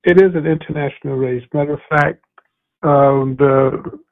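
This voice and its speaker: A man hosting a casual radio interview, talking haltingly with many fillers and restarts. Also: a little high, fairly even intonation, gravelly. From United States. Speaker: radio host. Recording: tinny phone-like radio audio.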